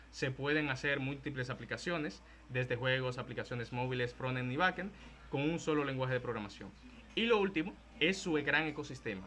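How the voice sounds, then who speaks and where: A man's voice: medium pitch, pushed and bright, slight background noise. A man in his early twenties with a Dominican Spanish accent, presenting a casual programming talk with slides and the odd joke. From Dominican Republic. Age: 20s. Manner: casual, joking, with frequent fillers and restarts.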